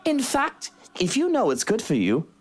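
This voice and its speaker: A woman's voice: a little high, wildly swooping pitch, moderate pace, slight background noise. A woman voicing a haughty, wealthy estate master in a visual novel, speaking in a formal, curt and imperious way. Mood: irritated, haughty. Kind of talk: visual novel character read-aloud.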